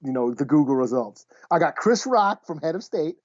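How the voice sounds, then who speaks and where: Dull-sounding, higher-voiced man chatting offhandedly. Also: expressive, moderate pace, a little mellow, no room sound. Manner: offhand, self-deprecating. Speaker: podcast co-host. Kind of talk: casual chat.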